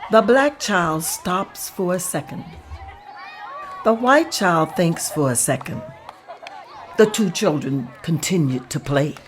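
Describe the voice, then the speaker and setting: Slow, measured woman narrating. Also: on the low side, expressive, some chatter behind, a little hiss or hum. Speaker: narrator reading stage directions. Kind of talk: table read narration.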